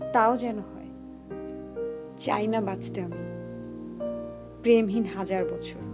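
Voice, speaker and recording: An actress playing an attentive, wistful woman in a TV drama, speaking in a measured way with a Bangladeshi accent. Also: medium pitch, natural voice. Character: attentive, wistful female listener admiring another's romance. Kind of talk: TV drama dialogue. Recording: music bed under the voice.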